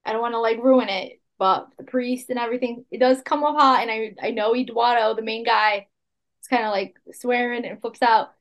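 Young woman cohost, upbeat chatty, kinda high voice. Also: moderate pace, lo-fi sound. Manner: animated, laughs easily. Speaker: podcast co-host. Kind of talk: casual chat.

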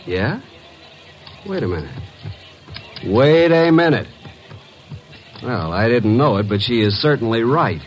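An actor playing a wry, streetwise detective's assistant who narrates in a clipped, deadpan, hardboiled radio drama style. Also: male voice, mid-range voice, unhurried, long pauses, soft background music, close to an everyday voice.

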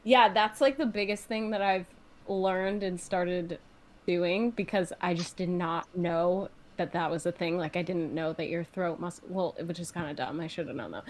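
A young woman, a singer-songwriter, chatting casually as an interview guest, full of "like" and acting out her own reactions. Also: moderate pace. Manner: chatty, fillers, acts out her own reactions with rising pitch.

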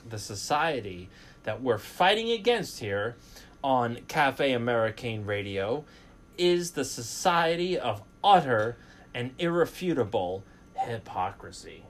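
A man's voice: mid-range voice, a bit bright. A man hosting a college radio talk show, delivering a boastful, sarcastic opinion monologue. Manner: boastful, sarcastic, self-mocking.

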